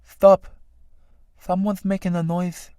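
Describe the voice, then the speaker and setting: A man's voice: high-pitched, level tone, slow, mellow, no room sound, clean studio sound, voice pushed up. A man voicing nosy, callous little snails in a stage-play animal fable, chatty and gawking. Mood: gawking, amused.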